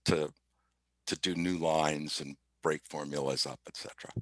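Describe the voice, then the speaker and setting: A man explaining software informally as an interview guest, methodical and somewhat halting in his delivery. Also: a bass voice, steady tone, no room sound. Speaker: podcast guest, IT specialist. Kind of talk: informal software demo talk.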